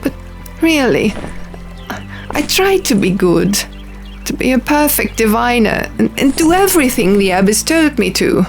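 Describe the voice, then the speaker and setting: A woman plays a lost young diviner in a fantasy audiobook, earnest and hesitant. The voice is fairly high with a touch of creak, plaintive, over soft music. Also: very animated, unhurried. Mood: hurt, pleading. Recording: music behind the voice.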